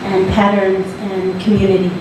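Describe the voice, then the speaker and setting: Panelist, woman, hesitant delivery with fillers, picked up far off in an echoing hall with steady noise. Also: mid-range voice, normal speed. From Alaska. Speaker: panelist, visual artist. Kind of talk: panel talk. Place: large gallery hall.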